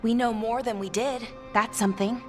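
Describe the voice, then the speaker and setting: An actress playing a thoughtful, wounded elf in a fantasy game, serious and reflective. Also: female voice, fairly high pitch, fairly even intonation, normal speed, darkish tone, faint music behind, close to an everyday voice. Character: thoughtful, wounded female elf, protective of her culture. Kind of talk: video game cutscene dialogue.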